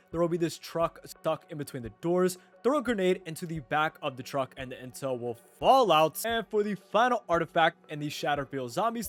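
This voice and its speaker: A man doing an informal voice-over for a video game guide, explaining things step by step. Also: high voice. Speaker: gaming video creator. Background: background music.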